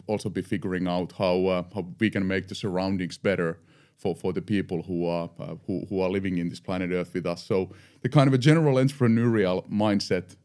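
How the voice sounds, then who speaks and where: A man's voice: deep voice, darkish tone. A middle-aged man from Finland with a Finnish accent, speaking English as a second language as a podcast guest, reflective and often restarting his words. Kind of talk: podcast interview.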